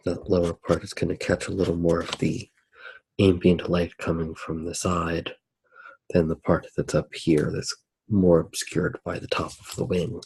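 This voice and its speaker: A man narrating his drawing for ASMR in a soft-spoken, unhurried way, musing aloud as he works. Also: low voice, level tone, vocal fry, slight background noise, poor audio. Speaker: ASMR artist.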